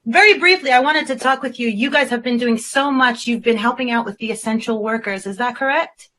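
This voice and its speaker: Effusive, bright-voiced woman hosting. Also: fairly high pitch, a little fast. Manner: effusive, gushing. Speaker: talk show host. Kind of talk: upbeat interview show presenting.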